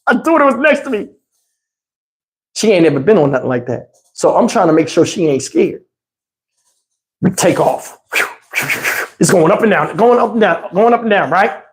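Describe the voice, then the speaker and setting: A man's voice: sits high, very animated, moderate pace, long pauses. Male storyteller, middle-aged, loose and slangy vlog delivery, emphatic and unfiltered. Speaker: storytelling YouTube host. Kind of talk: casual animated storytelling.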